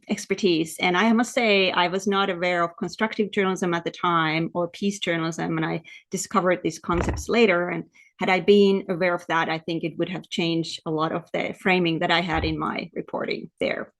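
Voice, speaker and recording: A woman's voice: lowish voice, fairly even intonation, moderate pace. A woman from Finland with a Finnish accent, presenting like an academic lecturer on a video call, explaining and often repeating words as she goes. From Lapland, Finland. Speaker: academic researcher presenting at a webinar.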